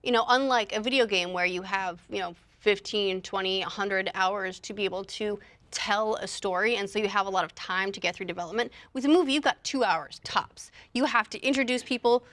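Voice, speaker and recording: A woman speaking as a panelist in a casual discussion, giving opinions in a measured way. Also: medium pitch, fairly bright tone.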